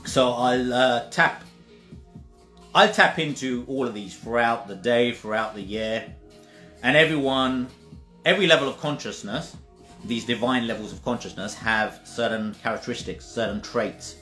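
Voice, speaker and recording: A man's voice: medium pitch, moderate pace, pushed and bright. A man giving a casual spiritual talk, emphatic and repetitive, sometimes swearing. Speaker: spiritual teacher. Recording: roomy echo with faint music.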